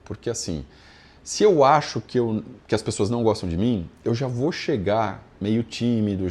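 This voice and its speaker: Low-voiced Brazilian man teaching informally. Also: a little mellow. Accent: Brazilian Portuguese. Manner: conversational, didactic, frequent check-in tags. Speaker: yoga philosophy teacher. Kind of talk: informal explanatory lesson with everyday examples.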